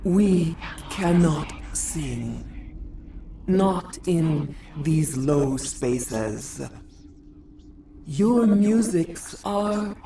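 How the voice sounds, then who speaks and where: A woman voicing an eerie alien hive creature in a video game, halting, detached and cryptic, as if speaking through a borrowed body. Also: sits low, expressive, dark tone, distant mic, a little hiss or hum, heavily acted. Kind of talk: dramatic video game acting.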